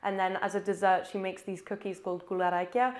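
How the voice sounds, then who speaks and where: A woman's voice: mid-range voice, steady tone, quick pace. Chatty enthusiastic woman. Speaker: interviewee. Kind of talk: casual documentary interview.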